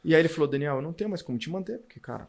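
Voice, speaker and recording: A man, a podcast guest telling his career story in an animated way. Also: fairly high pitch, very fast, creaky. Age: 40s. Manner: animated storytelling, acts out past dialogue. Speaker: podcast guest, car dealer. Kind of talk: casual storytelling interview.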